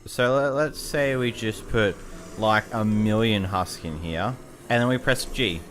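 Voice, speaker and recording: A man's voice: lowish voice, a little slow, fairly bright tone, faint music behind. A young Australian man casually commenting on a video game, chatty and self-mocking, tripping over words as he reads. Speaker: gaming YouTuber.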